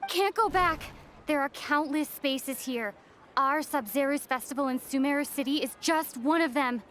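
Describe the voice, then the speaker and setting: A woman playing a solemn messenger in a video game, giving a measured, cryptic delivery. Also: high-pitched, fairly bright tone. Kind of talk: animated video game voice acting. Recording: noisy ambience behind voice.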